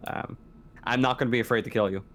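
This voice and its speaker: A man's voice: mid-range voice, steady tone, fast talker, fairly bright tone, a little hiss or hum. A man running a tabletop roleplaying game, chatting casually with his players in a rambling, hesitant, sweary way.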